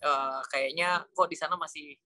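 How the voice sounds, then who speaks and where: A man's voice: a little high, steady tone, normal speed, bright, forceful tone. A man from Indonesia speaking as an interview guest, informally telling personal stories and mixing in English words. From Cirebon, West Java, Indonesia. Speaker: interview guest, Islamic school educator. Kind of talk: conversational personal storytelling.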